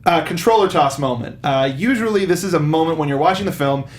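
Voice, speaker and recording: A man's voice: fairly high pitch, fast. A man hosting a film review show in casual panel chat, talking like an earnest enthusiast.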